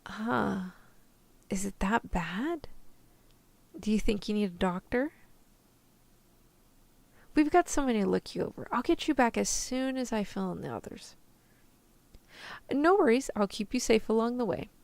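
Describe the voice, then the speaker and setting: Woman acting a creaky-voiced, tough, protective rescuer gal. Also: fairly high pitch, lively intonation, a little slow, long pauses, a little mellow, no echo. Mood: breezy, reassuring. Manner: casual slangy reassurance, turning threatening. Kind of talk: ASMR roleplay.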